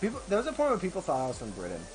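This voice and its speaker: A young man casually commenting while playing a video game on a live stream, rambling, swearing and thinking out loud. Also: high voice, wildly swooping pitch, very fast, poor audio.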